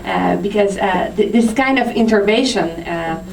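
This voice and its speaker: A woman's voice: medium pitch, normal speed, vocal fry, a little mellow. Woman panelist in an echoey hall. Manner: hesitant, many fillers and restarts. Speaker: conference panelist. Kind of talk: panel answer to audience question. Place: conference room. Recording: roomy, distant from the mic.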